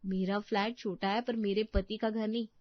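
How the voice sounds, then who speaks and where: A woman's voice: medium pitch, fairly even intonation, moderate pace, dry recording, natural voice. A woman voicing a demanding, spoilt young bride-to-be in an audio story, fussy and gushing. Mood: dreamy, demanding. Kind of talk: Hindi audio story acting. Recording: slightly dull, dry studio recording.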